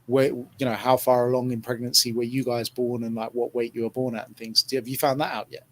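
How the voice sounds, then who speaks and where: A man hosts a casual podcast chat. He tells stories in a relaxed, chatty way and laughs often. His voice sits at a middling pitch. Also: fast. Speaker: podcast host.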